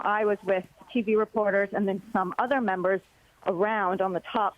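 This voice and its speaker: A woman's voice: mid-range voice, dry recording. A young woman reporter phoning in a live report, recounting events hesitantly with many filler words. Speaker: congressional reporter. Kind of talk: live phone report on breaking news. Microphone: phone. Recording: muffled lo-fi phone line.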